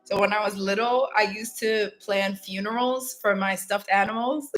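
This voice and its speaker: A woman's voice: medium pitch, steady tone, normal speed, fairly bright tone, soft background music, poor audio. A woman from Ghana hosting a casual motivational self-help talk, candid and reflective, chatting directly to her viewers. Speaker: spiritual self-help host.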